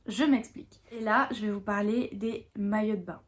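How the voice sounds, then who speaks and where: A woman's voice: medium pitch, moderate pace. French woman explaining faith matters earnestly. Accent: French from France. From France. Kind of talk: explanatory faith talk to viewers.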